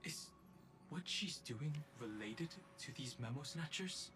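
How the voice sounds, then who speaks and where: An actor voices a reserved, earnest young man in a video game. His delivery is terse and serious at a middling pitch, over prominent music. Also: animated, measured pace, lightly acted. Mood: serious, questioning. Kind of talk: scripted video game dialogue.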